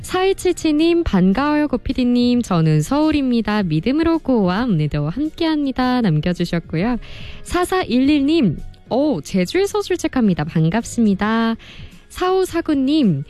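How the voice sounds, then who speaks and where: A woman hosting a radio music show, reading listener messages in a polite, expressive presenter style. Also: a little high, normal speed. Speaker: radio host and producer. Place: radio studio.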